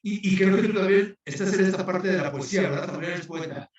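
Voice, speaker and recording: A man's voice: high-pitched, brisk. A man, casually chatting as the interviewer and host. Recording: very echoey, distant, muffled sound.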